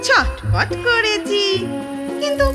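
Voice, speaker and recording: A menacing, mocking ghost woman whines through her nose in an extremely high, wildly swooping voice. She drags out her taunts for an audio ghost story, with loud music behind her. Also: sing-song, heavily acted. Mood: taunting. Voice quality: nasal. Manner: slow, taunting drawl.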